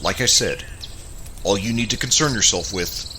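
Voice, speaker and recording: A man's voice: fairly low pitch, moderate pace, noisy background, lightly acted. An actor playing a terse, businesslike male soldier in an audio drama. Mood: curt. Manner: curt, terse.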